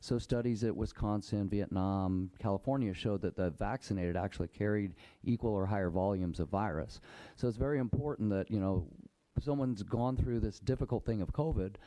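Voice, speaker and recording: Man with a tired, dark voice. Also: lowish voice, rough recording. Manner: brisk, conversational, frequent throat clearing and filler words. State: losing his voice. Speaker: physician testifying to a state senate committee. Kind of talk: formal testimony.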